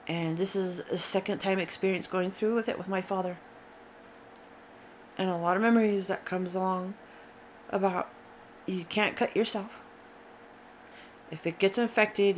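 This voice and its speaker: A tired, upset woman in her forties speaks at a middling pitch, halting often and sighing between thoughts. Her account comes out unscripted, with restarts. The recording is dull and lo-fi, with a faint hiss underneath. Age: 40s. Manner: halting, emotional, with sighs and restarts. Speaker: storyteller sharing a personal account. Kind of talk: unscripted personal testimony.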